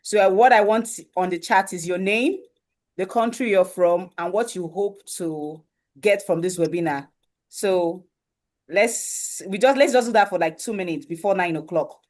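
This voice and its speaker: A woman's voice: medium pitch, moderate pace, darkish tone. Woman hosting an online webinar. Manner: welcoming, repeats "you understand" often. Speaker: webinar host. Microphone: video call.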